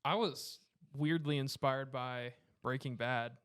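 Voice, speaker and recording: Young man, mid-pitched, casual and slangy. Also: fairly even intonation, slow, a bit bright, smooth. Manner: informal, slangy. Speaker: podcast panelist. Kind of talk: casual chat.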